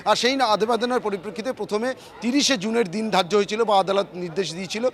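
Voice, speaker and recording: A man's voice: high voice, fast talker. A man with a West Bengal Bengali accent giving an emphatic, repetitive live news report from the field, stumbling now and then. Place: outdoors outside a court complex.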